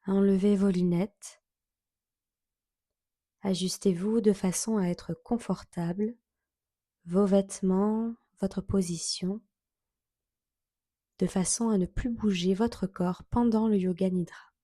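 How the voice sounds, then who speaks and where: A woman leading a guided yoga relaxation as an instructor, giving soothing, measured instructions with long pauses. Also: medium pitch, steady tone, warm tone, no room sound, clean studio sound.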